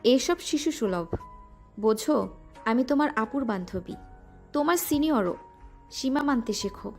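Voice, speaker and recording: A woman's voice: a little high, expressive, a little slow, a little mellow, soft background music. A woman playing a stern young woman student. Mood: scolding. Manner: firm, curt, scolding. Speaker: voice actor. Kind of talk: audio drama acting.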